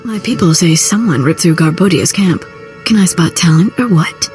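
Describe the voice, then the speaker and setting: An actress playing a brash, sardonic, streetwise crime broker in a video game. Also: female voice, fairly low pitch, expressive, moderate pace, lightly acted. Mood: amused, disgusted. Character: brash, sardonic female underworld fixer. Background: loud music.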